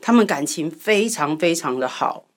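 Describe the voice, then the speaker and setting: Low-pitched woman with Taiwanese Mandarin accent. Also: animated, normal speed. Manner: earnest, open, explains through personal stories. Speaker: interview guest. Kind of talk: candid conversational interview.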